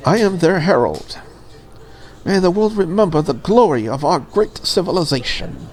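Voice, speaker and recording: Visual novel dragon girl ambassador, played by a woman: proud, rigid, formal, grandiose, with a lively pitch range. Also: normal speed, close to an everyday voice. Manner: stiff, formal, solemn declarations. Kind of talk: visual novel character read aloud.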